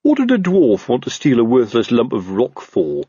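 A man voicing a slow-witted, self-important police officer in an audiobook, blunt and grumbling in colloquial speech. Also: mid-range voice, wildly swooping pitch, warm tone, breathy edge, no echo, highs cut off. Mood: grumbling, affronted. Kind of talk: audiobook character voice.

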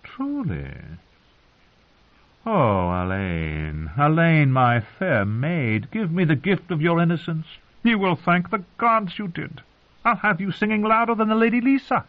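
A man's voice: fairly high pitch, big pitch swings, a little slow, phone-quality sound, pitched above the performer's own voice. A man voicing a lecherous, tipsy young singer who courts in florid, wheedling phrases in an audiobook story. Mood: oily, amorous.

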